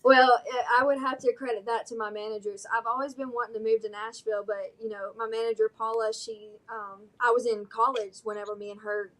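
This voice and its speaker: A woman's voice: fairly high pitch, steady tone, fairly quick, few pauses, fairly bright tone, poor audio. A young woman with a Southern American drawl, from Alabama, talks through an interview in a bubbly, easygoing way. She giggles often and leans on "um" and "like" as she goes. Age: young adult. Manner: bubbly, giggly, many fillers. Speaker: singer-songwriter guest. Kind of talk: casual interview chat.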